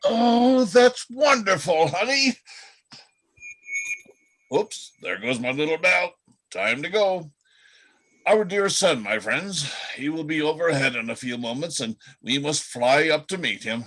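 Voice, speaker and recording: A man's voice: a little high, expressive, unhurried, a bit bright. A man playing a jovial, affectionate reindeer husband in a folksy radio play style. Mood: tender, excited. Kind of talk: reader's theatre radio play acting.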